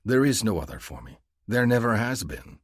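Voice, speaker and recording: A man's voice: on the low side, very animated, normal speed, no echo, clean studio sound, pitched above the performer's own voice. A man as a proud, tender alien male.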